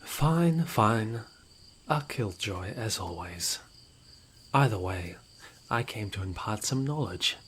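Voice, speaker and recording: A man playing a smug, nonchalant rogue informant in a fantasy audio roleplay, with a theatrical, mocking, playfully menacing way of talking. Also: lowish voice. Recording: noisy outdoor night ambience with crickets. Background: crickets and night insects.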